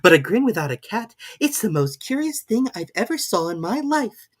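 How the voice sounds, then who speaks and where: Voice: medium pitch, very animated, normal speed, husky, pitched above the performer's own voice. A storybook reader voicing a polite, curious young girl, speaking in an earnest, prim and questioning way.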